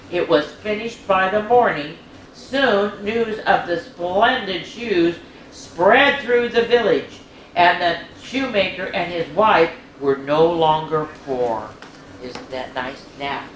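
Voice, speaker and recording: Lady doing storytime for little kids, slow chatty reading, echoey room w music behind. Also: lowish voice, fairly bright tone, a little hiss or hum. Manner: homely, chatty, exclaims and points things out to children. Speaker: children's storytime host. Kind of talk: reading a picture book aloud to young children, with chatty asides.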